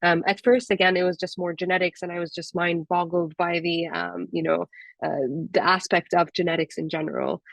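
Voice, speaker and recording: A woman's voice: on the low side, dry recording. A woman from Jordan who is a little sick, talking informally and chattily about her career as a panelist. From Amman, Jordan. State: sick with a cold-like illness. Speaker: panelist, cancer genetic counselor.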